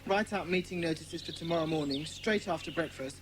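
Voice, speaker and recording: A woman's voice: sits low, brisk, noisy background, close to an everyday voice. A woman voicing an earnest, well-spoken British schoolboy in a children's audiobook drama. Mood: businesslike. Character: Peter, earnest schoolboy leader of a children's detective club.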